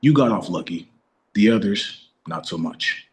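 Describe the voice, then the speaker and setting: Man acting low-voiced, menacing masked avenger. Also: expressive, unhurried. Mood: controlled threat. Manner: low, clipped, threatening delivery. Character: vengeful masked man in his mid-twenties, menacing, grim interrogator. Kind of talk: crime drama table read.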